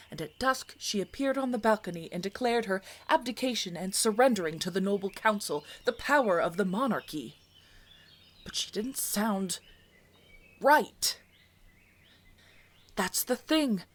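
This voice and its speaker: A woman's voice: medium pitch, expressive, moderate pace, close to an everyday voice. A woman playing a loyal, urgent palace informant in a fantasy audio drama, speaking in an earnest, conspiratorial way. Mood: uneasy, grave.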